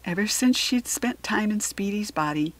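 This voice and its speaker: A woman with a standard American accent reading a children's chapter book aloud in an expressive, teacherly way. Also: mid-range voice, moderate pace.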